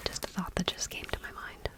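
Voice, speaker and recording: A woman speaking in a soft, breathy near-whisper in an ASMR style, gentle and unhurried, close to the microphone. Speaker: ASMR channel host. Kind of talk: soft-spoken ASMR, reading trading cards aloud.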